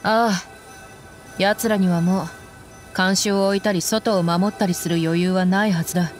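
An actress plays a tough female sellsword in an anime game. She talks in a blunt, rough way at a medium pitch, bold and protective, with music behind her. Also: fairly even intonation, normal speed, lightly acted. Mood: confident. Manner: blunt, rough tomboyish phrasing.

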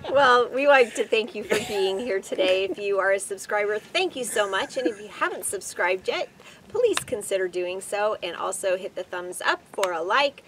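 A woman's voice: mid-range voice, lively intonation, moderate pace. The kind of middle-aged woman you would hear hosting a casual chat from a camp chair outside an RV, with outdoor noise around her. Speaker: vlog host. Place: outdoors in open desert beside an RV.

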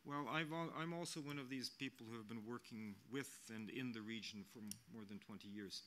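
A man's voice: medium pitch, a bit bright, slight background noise. Earnest older man at a panel desk. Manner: measured, earnest, with frequent restarts and fillers. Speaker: international mission official on a panel. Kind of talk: unscripted panel remarks. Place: press center hall. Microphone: desk microphone.

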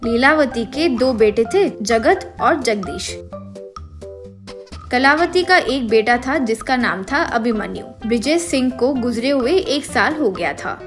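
High-pitched woman narrating a story. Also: expressive, slow. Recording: music behind the voice. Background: music.

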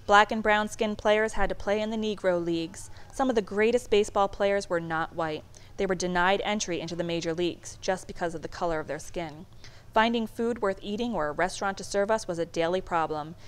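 Expressive woman reading a children's book aloud. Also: medium pitch, a little fast, smooth. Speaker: storybook reader.

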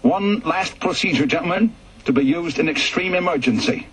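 A man voicing a brisk male air force briefing officer who reads out emergency procedure in a formal, instructive way. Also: a little high, fairly bright tone, voice pushed up, close to an everyday voice, rough recording. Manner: crisp, instructive, formal delivery.

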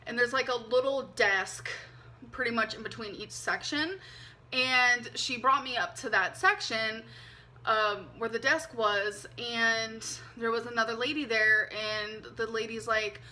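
A woman in her twenties telling a personal story to camera from her bedroom, chatty and animated, with lots of ums and likes. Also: on the high side, unhurried. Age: 20s. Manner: chatty, animated, frequent "um" and "like", hand gestures. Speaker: vlogger. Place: bedroom, indoors. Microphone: camera mic, seated facing camera.

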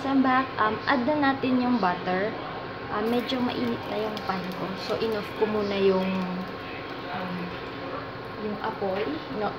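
A woman's voice: mid-range voice, muffled recording, very noisy. Filipino woman hosting a home cooking video. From Philippines. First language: Tagalog. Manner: mixes English and Tagalog, hesitant with many ums. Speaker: cooking vlogger. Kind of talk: casual cooking tutorial. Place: home kitchen counter.

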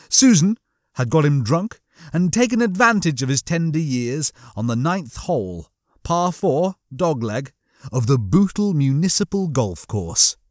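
Lively, higher-pitched male audiobook narrator. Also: dry recording, clean studio sound. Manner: theatrical, expressive storytelling. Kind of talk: comic scripted narration.

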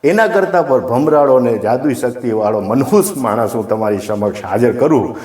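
A man's voice: mid-range voice, animated, normal speed, darkish tone, natural voice. A Gujarati man voicing a clever, bold royal adviser in a folk tale, speaking directly and persuasively. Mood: boldly reasoning. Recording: echoey, roomy sound.

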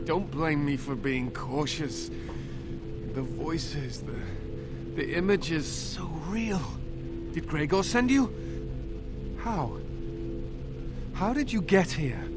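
An actor voicing a tormented, defiant young knight in a video game, anguished and halting, bitter and suspicious from captivity. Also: male voice, fairly high pitch, over music. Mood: shaken, bitter. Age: young adult. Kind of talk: dramatic video game voice acting.

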